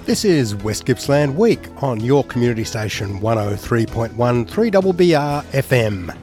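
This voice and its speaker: A man's voice: medium pitch, very animated, normal speed, over music. A man hosting a community radio show, who asks questions in a hesitant way and often stumbles over his words. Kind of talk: radio interview.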